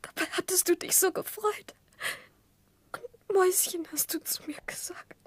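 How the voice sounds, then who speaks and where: Very high-voiced, pouting young wife. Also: female voice, fairly even intonation, normal speed, no echo, in a whisper. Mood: hurt, tearful. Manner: plaintive, reproachful. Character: childish, pouting, easily hurt young wife. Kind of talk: 1960s German radio drama acting.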